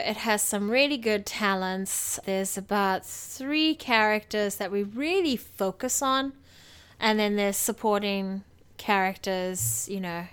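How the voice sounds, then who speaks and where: A woman's voice: mid-range voice, a bit bright, clean tone. A woman co-hosting a movie podcast, explaining things informally and thinking aloud. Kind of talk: casual review chat.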